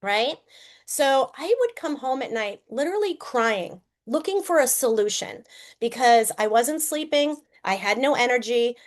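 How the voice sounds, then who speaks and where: A woman in her fifties with a Boston accent leads a live-streamed sales presentation. Her voice sits a little high. She talks to her viewers like friends, often tagging "right?" and asking them to drop a comment. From Boston area, USA. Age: 50s. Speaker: network marketing presenter.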